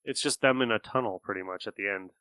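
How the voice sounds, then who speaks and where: Bright-voiced man chatting casually. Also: on the low side, quick pace, no echo. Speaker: podcast co-host. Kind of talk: casual chat reviewing old radio shows.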